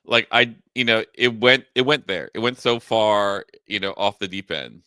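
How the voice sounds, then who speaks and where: Crisp, bright, low-voiced man. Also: normal speed, no room sound. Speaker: podcast co-host. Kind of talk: casual pop-culture podcast banter.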